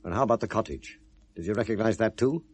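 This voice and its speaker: A man's voice: fairly low pitch, fast talker, slightly rough. A man acting in a 1970s British radio drama, playing a dry, world-weary police detective who questions probingly. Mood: pressing, dry. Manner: wry, questioning. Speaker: actor in a radio play.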